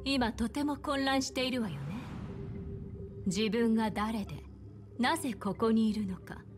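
An actress plays a composed, mysterious woman from an anime game. Her fairly high voice is slow, deliberate and soothing, gently commanding and seductive. Music plays clearly behind her. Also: natural voice. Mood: calm, hypnotic. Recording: background music.

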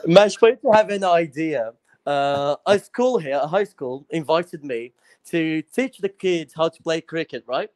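Casting: Brazilian caller, Portuguese speaker, slightly high voice, playful storyteller on a video call. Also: male voice, animated, measured pace, darkish tone. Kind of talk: casual call-in chat.

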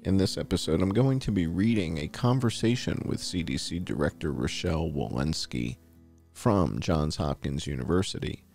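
Deep creaky-voiced man reading aloud. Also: lively intonation, normal speed, a little mellow, faint music behind. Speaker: podcast host reading articles aloud. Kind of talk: read-aloud article narration.

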